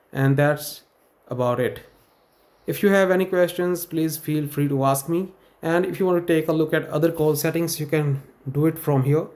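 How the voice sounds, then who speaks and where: A man with a somewhat high-pitched voice narrates an informal screen tutorial. He talks as a channel host would, laying out each step plainly and instructionally. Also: darkish tone.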